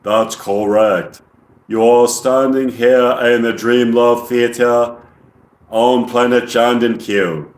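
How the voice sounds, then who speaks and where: A man voicing an alien in a slow, deliberate monotone with a put-on English accent, reading from a script. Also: medium pitch, reverberant, close to an everyday voice. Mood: flat, solemn.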